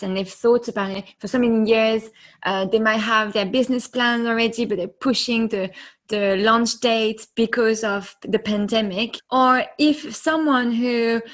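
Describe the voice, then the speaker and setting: A woman's voice: fairly high pitch, a bit bright. A woman hosting an interview, supportive and asking long, careful questions. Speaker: interviewer, host.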